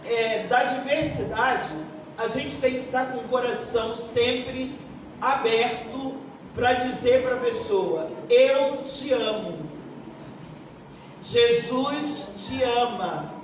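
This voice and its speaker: A Brazilian woman of sixty-one answering on a forum panel like a pastor, deliberate and emphatic, searching for words as she goes. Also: on the high side. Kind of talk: unscripted panel answer with preaching tone. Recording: echoey room, distant mic, faint noise.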